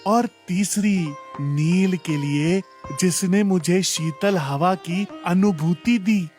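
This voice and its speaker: A man voicing a solemn sage who grants magical powers in a cartoon story, speaking slowly and with measure. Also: high-pitched, a little mellow, faint music behind. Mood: solemn, benevolent.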